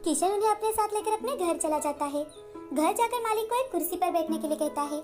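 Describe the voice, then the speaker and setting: A woman's voice: extremely high pitch, brisk, a little mellow, faint music behind. A woman reading a scripted story as its narrator.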